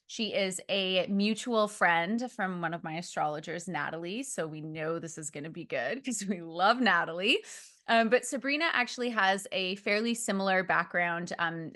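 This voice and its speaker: A woman's voice: lowish voice, a bit bright. A young adult woman hosting a podcast, talking in a friendly way with many fillers as she introduces her guest. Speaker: podcast host.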